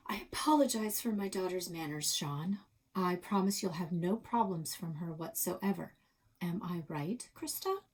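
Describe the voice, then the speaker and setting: A woman's voice: fairly low pitch, unhurried, slightly rough. Woman playing an exasperated storybook mom. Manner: firm, sighing. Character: exasperated, apologetic adult mother.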